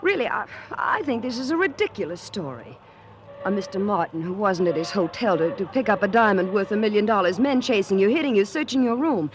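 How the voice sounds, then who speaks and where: A haughty, scornful woman acted by an actress protests with highly animated intonation at a medium pitch. The 1940s radio drama has clear street noise behind her. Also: a little fast, lightly acted. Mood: indignant. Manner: indignant, crisply dismissive. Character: indignant, haughty young woman, brisk and scornful.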